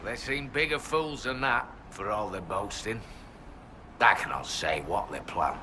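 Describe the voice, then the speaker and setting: Proud fantasy clansman, low forceful voice, terse archaic phrasing, wary, background noise. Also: a little slow. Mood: wary, blunt. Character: proud, wary male hill-tribe warrior, plain-spoken and superstitious. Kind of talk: fantasy video game acting.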